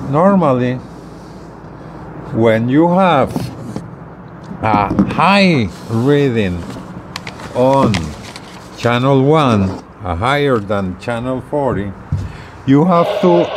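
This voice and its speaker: A middle-aged man giving an unscripted how-to demonstration in halting, short phrases with repeats, recorded outdoors on a porch. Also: medium pitch, background noise. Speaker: gadget reviewer demonstrating equipment.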